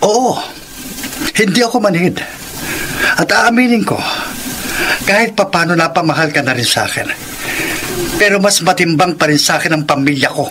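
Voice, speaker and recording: A man's voice: fairly high pitch, normal speed, pauses often, lightly acted. An actor playing a conflicted, guilt-ridden married man in a Tagalog radio drama, speaking dramatically. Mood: conceding. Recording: clearly noisy background.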